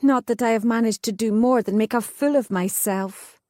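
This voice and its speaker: A woman's voice: on the high side, moderate pace, dark tone, dry recording, clean studio sound, pitched above the performer's own voice, close to an everyday voice. A woman voicing a wry, headstrong young noblewoman, speaking in a lilting, old-fashioned way. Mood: earnest.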